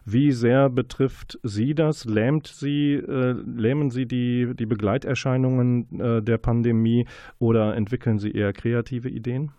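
Male interview host, mellow tone, mid-range pitch, playful and wordy, dry studio-like sound. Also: fairly even intonation, unhurried, no room sound, muffled recording. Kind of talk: conversational interview questioning.

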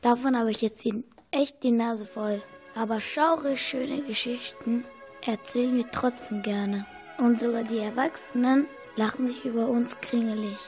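A woman's voice: a little high, steady tone, normal speed, darkish tone, faint music behind, lightly acted. A woman playing a plain-spoken, proud, fed-up seafaring worm in a children's radio play. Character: fed-up seafaring storybook worm who tells spooky tales.

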